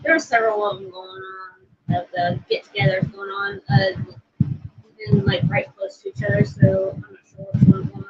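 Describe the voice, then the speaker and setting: A woman's voice: very high-pitched, moderate pace, vocal fry, lo-fi sound, heavy background noise. A woman from Oklahoma co-hosting a casual livestream, rambling and reading viewer comments aloud. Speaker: livestream co-host.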